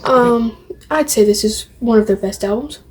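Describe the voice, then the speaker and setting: A woman's voice: on the high side, moderate pace, a little mellow, a little hiss or hum. A woman vlogging casually to camera, showing off a collection in a rambling, opinionated way and repeating phrases. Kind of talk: casual show-and-tell to camera.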